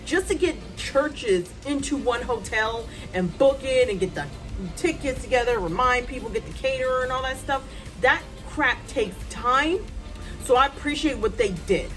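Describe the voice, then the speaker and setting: A woman in her thirties, a writer, chatting casually to her phone's front camera at home, loud-voiced and rambling with many ums and asides. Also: high-pitched, lively intonation, normal speed, soft background music. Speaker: writer vlogger. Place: hot room at home with a fan.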